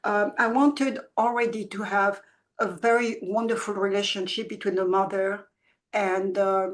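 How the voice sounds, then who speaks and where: A woman in her seventies who grew up in France, a children's book author talking in an interview in a soft, halting way with many restarts. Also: mid-range voice, fairly even intonation. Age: 70s. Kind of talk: reflective conversational interview.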